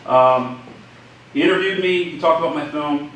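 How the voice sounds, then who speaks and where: A man with a bright, forceful voice, joking and acting out quotes as a filmmaker guest at a live event. He sounds distant in a very echoey room. Faint background noise sits under him. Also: a little high, moderate pace. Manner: jokey, animated, acts out quotes. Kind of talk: animated casual talk at a live event. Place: indoor event room with group.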